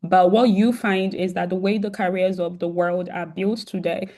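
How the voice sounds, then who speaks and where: A woman's voice: lowish voice, fairly even intonation, moderate pace, dark tone. A woman with a sore throat and an occasional cough hosts a virtual career event on a video call. She talks casually to students, with many "um" fillers and restarts.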